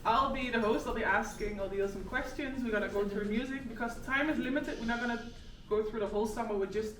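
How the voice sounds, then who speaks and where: A woman's voice: on the high side, fairly bright tone, slight background noise. Upbeat woman hosting a live event. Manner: upbeat, slightly halting with fillers. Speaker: event host. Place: store listening party. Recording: echoey room, far off, crowd.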